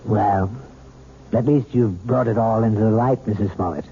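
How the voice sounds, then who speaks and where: An actor playing a calm, reassuring male psychiatrist in a 1970s radio drama, speaking in a measured, explanatory way. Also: lowish voice, breathy edge. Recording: tinny, hissy old radio recording.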